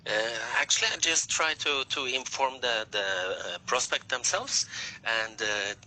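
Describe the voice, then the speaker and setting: A man from Norway, a network marketer, speaking hesitantly with many pauses and fillers in a casual group chat. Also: medium pitch, animated, sharp tone, a little hiss or hum, poor audio.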